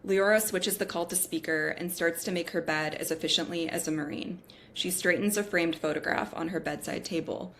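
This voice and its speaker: A woman's voice: lowish voice, fairly even intonation, moderate pace, a bit bright, slight background noise. A woman narrating screenplay stage directions at a scripted table read.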